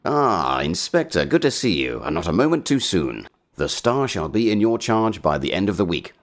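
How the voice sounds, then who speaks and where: An actor playing a courteous, scheming villain in an audio drama, speaking in formal, deliberate phrases. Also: male voice, sits low, sing-song. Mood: smooth, assured.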